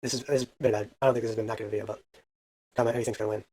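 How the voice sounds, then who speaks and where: A man's voice: fairly low pitch, steady tone, very fast, mellow, breathy edge. A man casually commentating a baseball video game, counting hits aloud and adding self-deprecating asides. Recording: faint background music.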